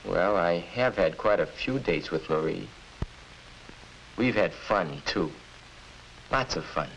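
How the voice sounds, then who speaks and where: A puzzled high-school senior, musing haltingly at a very slow pace in a lowish voice. The style is 1950s instructional film drama, over a faintly hissy soundtrack. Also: male voice, lively intonation, gravelly, a bit bright, full character voice, rough recording. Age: young adult.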